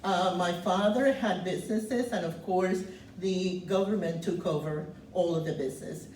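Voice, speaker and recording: A woman in her seventies from Cuba, speaking loudly and earnestly as she tells her life story, pausing on many fillers. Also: fairly low pitch, a little hiss or hum. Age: 70s. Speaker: guest speaker sharing her refugee story. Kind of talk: personal testimony talk. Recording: roomy, distant mic, faint ambience.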